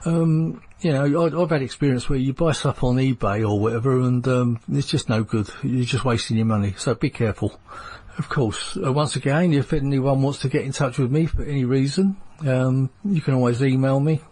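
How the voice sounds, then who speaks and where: A man from London with a London accent, hosting a paranormal podcast in a relaxed, chatty way, laughing and repeating himself. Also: medium pitch, normal speed. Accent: London English. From London, England. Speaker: podcast host. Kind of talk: casual interview chat.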